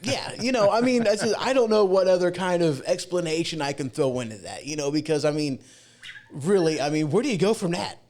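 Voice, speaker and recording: Male livestream host, a bit high-pitched, loose and informal, chatting off the cuff. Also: a little fast, darkish tone. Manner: rambling, informal. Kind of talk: casual chat. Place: home podcast studio.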